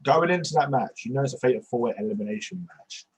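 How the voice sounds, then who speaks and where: A man's voice: mid-range voice, expressive, quick pace, a little mellow, slight background noise, poor audio. A man, hosting a podcast over a video call from a small bedroom on a headset, rambling with many restarts. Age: 20s. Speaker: podcast host. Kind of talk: casual video-call chat.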